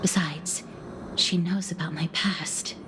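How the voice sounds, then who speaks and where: A woman's voice: on the low side, fairly even intonation, slow, noisy background. An actress playing a composed, guarded young woman in a video game, speaking formally and seriously with a protective air, over music. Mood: calm, protective. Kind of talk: game character voice acting. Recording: game stream with music underneath.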